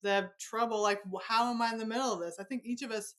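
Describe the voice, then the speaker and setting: Female interview host, higher-pitched, bright, informal and enthusiastic. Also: fairly even intonation, fast. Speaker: podcast host interviewing an author. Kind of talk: casual, chatty interview.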